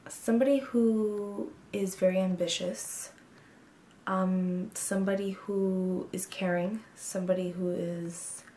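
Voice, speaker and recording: A woman's voice: mid-range voice, steady tone, takes it slowly, mellow, echoey room, slight background noise. Chatty twenties woman vlogging in a bedroom. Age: 20s. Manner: chatty, frank, with frequent ums and likes. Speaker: beauty vlogger. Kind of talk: casual get-to-know-me chat to camera. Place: small bedroom, indoors.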